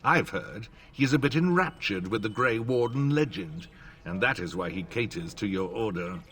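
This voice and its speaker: Skeptical lord type from a fantasy game, formal, animated, sharp voice. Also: male voice, fairly low pitch, normal speed. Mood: wry. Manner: formal, doubting. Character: skeptical nobleman of a fantasy realm.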